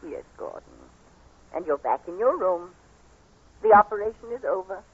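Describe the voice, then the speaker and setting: A woman's voice: fairly low pitch, very animated, normal speed, slightly rough. An actress playing a caring, concerned middle-aged aunt who soothes her nephew, in 1940s radio drama style. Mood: gentle concern. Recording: muffled, tinny 1940s radio recording.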